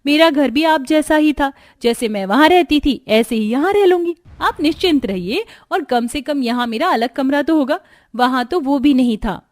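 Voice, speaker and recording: A woman's voice: high voice, lively intonation, moderate pace, a little mellow, no echo. A woman playing a dutiful young village daughter-in-law in a cartoon, polite and reassuring. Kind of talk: Hindi cartoon story dubbing.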